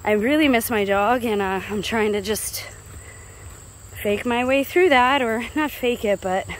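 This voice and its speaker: American female vlogger, higher register, casual rambling monologue, handheld phone outdoors with background noise. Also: unhurried. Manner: chatty, digressive, confiding. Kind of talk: casual walk-and-talk monologue. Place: outdoors walking along a rural road. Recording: clear outdoor roadside noise.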